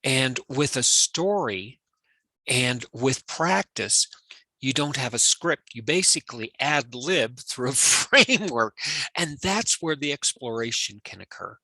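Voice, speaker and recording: A man co-hosting a podcast, reflecting on ideas and pausing to find his words. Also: medium pitch, expressive, bright, forceful tone, no echo, low-quality recording. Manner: reflective, searches for words with fillers. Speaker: podcast co-host. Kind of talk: casual podcast chat.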